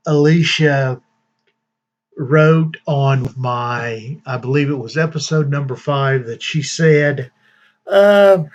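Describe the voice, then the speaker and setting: A man hosting his own channel, giving casual news commentary in a slow, deliberate way with fillers and long pauses. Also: medium pitch, dull audio. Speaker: vlog host.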